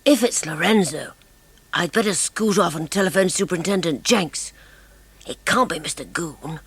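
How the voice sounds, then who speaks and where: Man voicing a husky, eager schoolboy sleuth. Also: a little high, moderate pace, fairly bright tone, no echo, voice pushed up, heavily acted. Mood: tense, secretive. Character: eager teenage boy sleuth, cautious and well-spoken. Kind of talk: dramatised children's mystery audiobook.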